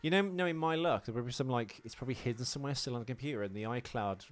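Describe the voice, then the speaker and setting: A man's voice: medium pitch, very fast. A British man hosting a podcast in casual, sweary and self-deprecating banter. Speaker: podcast host.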